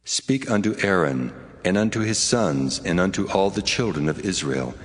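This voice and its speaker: An actor playing God, solemn and commanding, in a dramatized scripture reading. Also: male voice, deep voice, expressive, normal speed. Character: God speaking solemnly, commanding, deep male voice. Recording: long cavernous reverb, noisy background.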